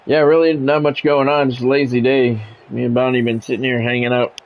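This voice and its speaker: An American man doing sports play-by-play for a video game stream, mixing game calls with relaxed, casual chat to viewers. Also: medium pitch, brisk, creaky, soft background music. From United States. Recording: dull lo-fi audio with faint noise.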